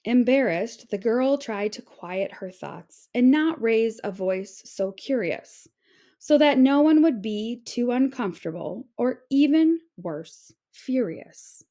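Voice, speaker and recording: A woman reading a children's picture book aloud in an expressive, carefully phrased storytelling way. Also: fairly high pitch, slow.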